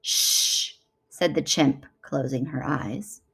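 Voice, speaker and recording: A woman narrating a children's storybook as an expressive read-aloud. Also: sits low, normal speed, gravelly, low-quality recording. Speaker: children's book reader.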